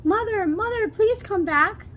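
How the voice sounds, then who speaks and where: Innocent storybook daughter, played by a female narrator: high, earnest, exclaiming with feeling, slight background noise. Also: unhurried, fairly bright tone, highs cut off. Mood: shocked, pleading. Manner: emotional, exclaiming.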